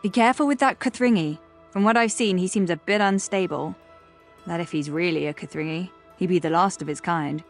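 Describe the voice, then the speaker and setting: Female fantasy scholar, acted by a woman: matter-of-fact, cautious, somewhat low, music bed. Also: brisk, close to an everyday voice.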